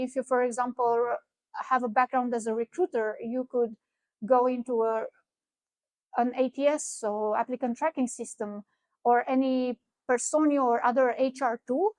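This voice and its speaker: A woman's voice: high-pitched, darkish tone, no echo, rough recording. A middle-aged woman from Romania with a Romanian accent, giving a conference keynote in a conversational way, with frequent fillers and restarts. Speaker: keynote speaker. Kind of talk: conference talk. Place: conference venue.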